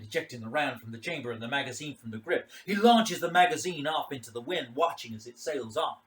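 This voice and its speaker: A man's voice: medium pitch, a bit bright. A man reading a literary short story aloud as a dramatic narration. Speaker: story reader.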